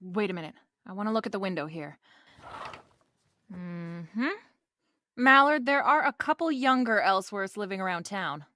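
A woman playing a brisk, bossy, wisecracking private detective in an old-time radio-style mystery drama. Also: mid-range voice, expressive, sharp tone.

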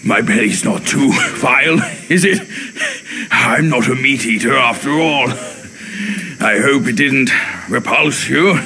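A gluttonous, jocular pig creature from a fantasy game. The delivery is theatrical and exclamatory, leaping up and down in pitch at a slow overall pace. Music plays clearly behind the voice. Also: male voice, animated. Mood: sheepish joking. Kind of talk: video game cutscene acting.

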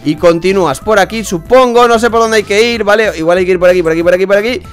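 A young man hosting a casual game playthrough, excitable and quick to shout and swear. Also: high-pitched, soft background music. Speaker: gaming channel host. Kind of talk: casual let's play chat.

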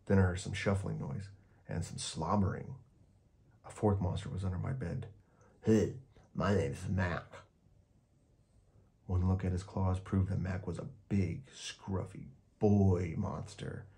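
A man reading a children's story aloud as an expressive narrator. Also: deep voice, normal speed, vocal fry. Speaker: storyteller, host.

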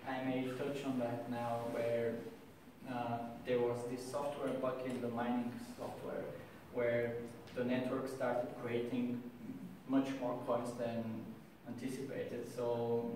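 A young man giving a meetup talk to a small audience, hesitant with many pauses and restarts, recorded by a distant camera in a small room. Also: mid-range voice, level tone, a little mellow, slight background noise. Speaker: meetup speaker. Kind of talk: presentation and Q&A. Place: small room with wall art and screen. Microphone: far camera, no mic. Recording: echoey room from far off.

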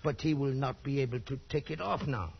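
An actor playing a nervous, slyly dismissive Portuguese trader with a Portuguese accent, a husky gravelly voice and hesitant broken English. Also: male voice, mid-range voice, fairly quick. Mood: worried. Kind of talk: 1940s radio acting. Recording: dull old radio recording.

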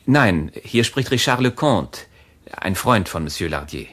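A man's voice: lowish voice, big pitch swings, a little slow, a bit bright, slightly rough, heavily acted. A man playing a nervous, cautious secret lover in a German radio thriller, reasoning urgently and hesitantly. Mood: hesitant, polite.

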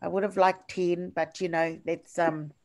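Older kiwi woman, lower voice, lecturing over slides on a video call, lots of ums and restarts. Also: little pitch movement, a little mellow. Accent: New Zealand. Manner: halting, many fillers and restarts. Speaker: professor giving a lecture.

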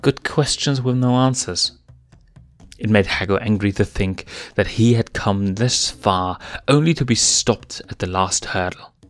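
A man narrating a fan story in a steady, measured reading voice. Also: fairly low pitch, no room sound, soft background music. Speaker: fanfiction reader.

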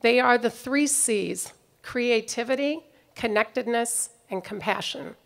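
A middle-aged woman giving a prepared commencement speech at an outdoor podium microphone, in a measured, reflective way. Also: fairly high pitch, gravelly, a bit bright. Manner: measured, reflective delivery reading from notes. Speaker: commencement speaker. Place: outdoor ceremony stage.

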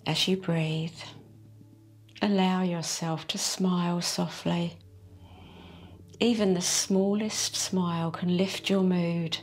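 A woman leading a guided meditation in a soft, soothing, unhurried way. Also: lowish voice. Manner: slow, soft and soothing, drawn-out phrases. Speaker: meditation teacher. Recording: faint music behind the voice.

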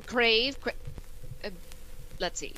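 A woman's voice: fairly high pitch, slow pace. Woman acting a dry, wry schoolteacher, noisy recording. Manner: dry, deadpan wisecracks. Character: wry, sardonic female high school English teacher. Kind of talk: old-time radio comedy acting.

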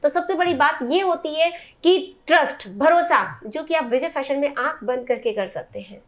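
A woman's voice: high-pitched, normal speed, no echo. Chatty young woman showing off sarees. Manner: chatty, enthusiastic, addresses viewers directly. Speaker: host presenting sarees. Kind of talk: casual product showcase. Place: indoors in a saree shop.

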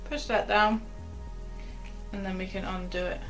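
A young woman teaches knots in a chatty, step-by-step way, her voice sitting at a middling pitch and often dropping to quiet asides as her hands work the rope. She speaks close to a camera in a small wooden cabin, with faint music playing underneath. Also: level tone, unhurried, poor audio. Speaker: sailing instructor. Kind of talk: knot-tying tutorial.

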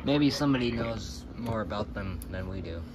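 A man's voice: on the low side, lively intonation, moderate pace, very noisy. A laconic man chatting casually as he reviews a gadget, recorded indoors on a handheld camera. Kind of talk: casual chat. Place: small carpeted room indoors.

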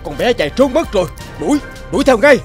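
A man's voice: extremely high pitch, sing-song, quick pace, faint music behind. A man voicing an ugly, gloating old sewer rat in a children's fairy-tale cartoon. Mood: alarmed, furious.

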